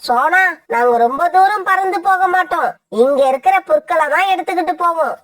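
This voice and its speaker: A woman's voice: very high voice, a little fast, fairly bright tone, far from the mic. A woman voicing a stubborn, suspicious female crow in an argumentative, insistent way for a children's cartoon fable. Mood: defiant. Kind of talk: cartoon voice acting.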